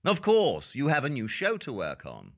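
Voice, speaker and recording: An actor playing a shrewd, self-assured detective in an audio play, with deliberate, precise, theatrical diction. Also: male voice, medium pitch, huge pitch swings. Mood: dry, probing.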